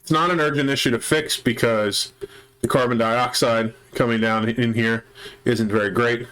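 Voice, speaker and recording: A man's voice: medium pitch, steady tone, normal speed, a bit bright, clear, resonant tone, poor audio. A man with a chest cold, hosting a let's play and methodically explaining his base repairs step by step. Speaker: gaming channel host.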